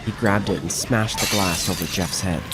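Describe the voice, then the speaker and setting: A man's voice: low voice, moderate pace, very noisy. A man narrating a scripted horror story.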